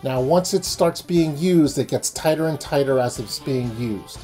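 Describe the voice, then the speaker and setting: A man's voice: mid-range voice, unhurried, mellow. Man hosting a how-to, music behind. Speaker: sailing channel host. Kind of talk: explanatory how-to vlog narration. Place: small indoor room.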